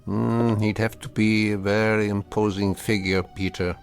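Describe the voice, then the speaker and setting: An actor playing a wry, defiant old man in a radio drama, measured and dryly ironic. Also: lowish voice, flat delivery, creaky, soft background music. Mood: quietly defiant.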